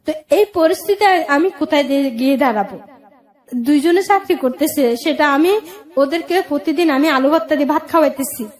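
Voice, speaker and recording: High-voiced Bangladeshi woman, plain-spoken and plaintive. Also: fairly quick. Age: 30s. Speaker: guest telling her life story. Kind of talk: personal account in colloquial speech.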